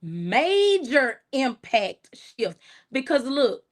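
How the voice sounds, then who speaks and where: A woman speaking, chatting casually to viewers on a livestream. Her voice sits a little high, and she thanks people by name, encourages them warmly and laughs often. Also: sing-song, drawn-out words. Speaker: livestream host. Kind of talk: casual livestream chat reading comments.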